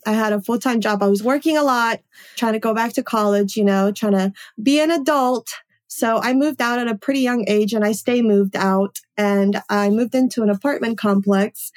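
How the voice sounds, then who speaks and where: A woman's voice: medium pitch, a little mellow. A woman in her late twenties, a native Polish speaker, chatting upbeat as a guest about her life. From Poland. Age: 20s. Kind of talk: casual interview conversation.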